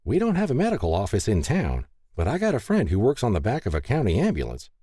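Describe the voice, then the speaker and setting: A man's voice: on the low side, huge pitch swings, fast, mellow, husky, no room sound, clean studio sound, pitched above the performer's own voice, exaggerated voice. A man voicing a kindly, candid older small-town wife in an audiobook. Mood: friendly, coaxing. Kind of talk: audiobook character dialogue.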